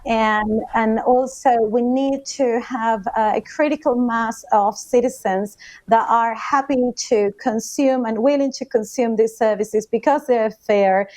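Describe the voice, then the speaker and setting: A woman from Latin America speaking earnestly as a panelist, with frequent pauses in a panel discussion. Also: on the high side, a little mellow, dry recording.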